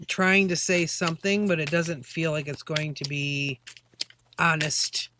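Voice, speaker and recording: A woman's voice: low-pitched, a little slow, noisy background. A woman doing a casual live-stream tarot reading, chatty and rambling with many fillers. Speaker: tarot reader.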